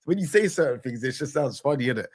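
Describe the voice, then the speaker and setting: A man's voice: mid-range voice, animated, fast talker. A man who is a guest on a casual panel chat, talking in an amused, teasing way.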